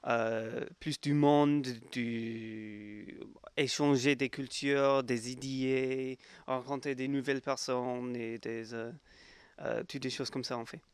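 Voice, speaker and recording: A man in his twenties with an English accent, a volunteer speaking learner's French haltingly, searching for words. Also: mid-range voice, level tone, smooth, dry recording. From England. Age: 20s. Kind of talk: interview testimony.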